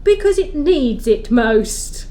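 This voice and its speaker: A woman's voice: high-pitched, very animated, takes it slowly, dark tone, full character voice. A woman playing a stern, grand Christmas spirit in an audio play, speaking solemnly and with emphasis.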